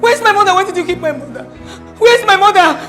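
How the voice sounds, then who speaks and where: A woman playing a desperate daughter who shouts and cries in a hospital. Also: very high voice, lively intonation, fast talker, faint music behind. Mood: frantic. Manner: shouting, pleading, crying. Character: frantic young woman, desperate and loud. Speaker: daughter searching for her mother in a hospital.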